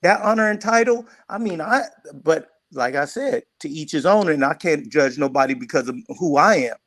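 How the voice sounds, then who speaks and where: A man's voice: on the high side, animated, normal speed, a bit bright, no room sound. A man in a casual, heated panel discussion, speaking colloquially and insistently as he argues his point.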